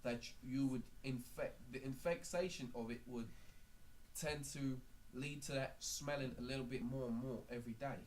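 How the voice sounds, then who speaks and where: A man putting on a calm clinic doctor for a prank phone call. He speaks politely and haltingly, with a careful clinical tone at a middling pitch, over faint background noise. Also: lightly acted. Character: calm male clinic doctor giving awkward bad news. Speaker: prank caller. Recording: noisy amateur phone-call recording.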